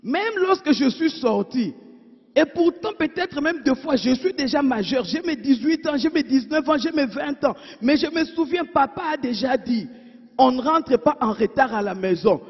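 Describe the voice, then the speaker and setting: A woman preacher delivering a sermon, asking her congregation rhetorical questions and swinging between emphatic and hushed delivery. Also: high voice, expressive, moderate pace. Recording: dull sound in a reverberant church hall.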